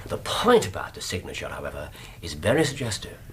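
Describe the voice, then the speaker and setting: Condescending Victorian detective, English accent, lowish voice, wildly swooping intonation, precise, didactic, radio drama, noisy background. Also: male voice, wildly swooping pitch, brisk. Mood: brisk, thoughtful. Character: brilliant, condescending Victorian gentleman detective, amused and exacting.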